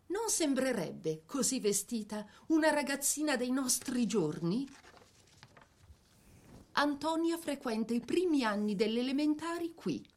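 A woman narrating a scripted biographical drama in an expressive, measured storytelling manner. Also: on the high side.

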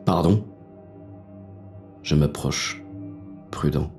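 An actor playing a hard-boiled, bitter police inspector who narrates a thriller in terse, intense first person. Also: male voice, very deep voice, drawn-out words, creaky, a little mellow, faint music behind, full character voice. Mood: tense, wary. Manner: gritty, terse, intense delivery.

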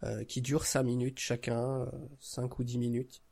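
A man's voice: mid-range voice, monotone, normal speed, vocal fry, mellow. A man chatting casually as a podcast guest, enthusiastic and often searching for his words. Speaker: podcast guest, audio drama creator. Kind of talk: casual chat.

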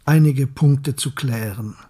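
An actor playing a courteous companion and narrator in a radio play, with a husky, mellow voice and measured, formal delivery. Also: male voice, medium pitch.

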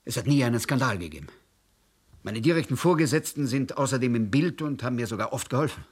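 An actor playing a troubled middle-aged husband in a radio play, speaking haltingly with fillers. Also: male voice, mid-range voice, animated, husky.

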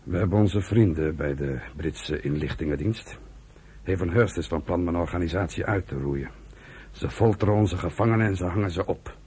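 A fervent old outlaw uncle in a radio drama. His low voice is extremely husky and rough, halting and avuncular, with the embittered emphasis of an underground commander. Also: mellow. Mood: bitter. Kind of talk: Dutch radio play acting.

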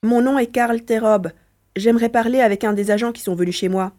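An earnest young boy, voiced by a woman, speaks with a mellow, rounded tone. The manner is caring and a little worried, in the style of an audio drama. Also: a little high, fairly even intonation, a little fast, lightly acted. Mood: concerned. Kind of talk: French audio drama acting.

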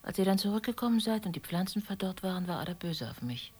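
A husky, wistful woman. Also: mid-range voice, expressive, quick pace, a little mellow. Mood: wistful recollection. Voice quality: husky, breathy, often dropping to near whisper. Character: wistful, gently reproachful woman, former lover of a married man. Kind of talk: German radio play dialogue.

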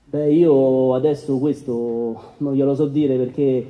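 An actor playing a crude, self-justifying married defendant, halting and defensive in a courtroom radio drama. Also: male voice, mid-range voice, steady tone, close to an everyday voice. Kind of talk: staged documentary courtroom drama. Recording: dull, lo-fi radio theatre recording.

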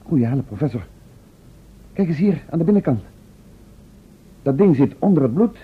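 A man's voice: a little high, sing-song, moderate pace, breathy edge, exaggerated voice. A man playing a professor's curious, sceptical assistant in a Dutch radio play, speaking formally with dry irony. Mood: thoughtful, uneasy. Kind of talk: Dutch radio drama acting. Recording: narrow, tinny old radio recording.

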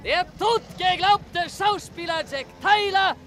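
An actor playing a newspaper street vendor crying out headlines in a mid-century German radio play. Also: male voice, extremely high pitch, slow pace. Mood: hawking. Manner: loud sing-out calling.

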